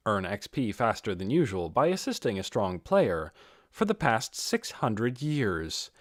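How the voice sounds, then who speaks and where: A man reading a scripted fantasy audiobook in an expressive, dramatic storytelling manner. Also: mid-range voice, no room sound, clean studio sound. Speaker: audiobook reader.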